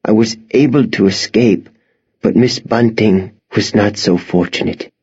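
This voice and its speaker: A frail, genteel old woman from an audiobook, fearful and full of grief, played by a male narrator. The speech is slow, formal and halting. The recording is a little muffled. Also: lowish voice, a little mellow, breathy edge. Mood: grave. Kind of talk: audiobook character acting.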